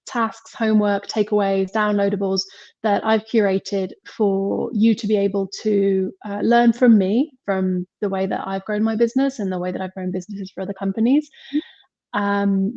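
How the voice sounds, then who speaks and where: A woman with an English accent talks as a podcast guest, chatting in a loose, rambling way with frequent laughs. Her voice is pitched around the middle for a woman. The sound is dry and a little muffled, like a video call. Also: mellow, no room sound. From England. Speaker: podcast guest, marketing mentor. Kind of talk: casual interview chat.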